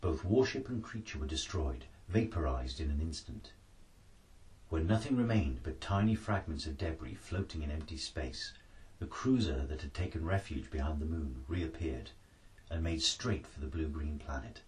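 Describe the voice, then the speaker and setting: A man's voice: a bass voice, expressive, normal speed, a little mellow, recorded from a distance, low-quality recording. A man reading an adventure story aloud as a dramatic scripted narration. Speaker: author-narrator reading his book.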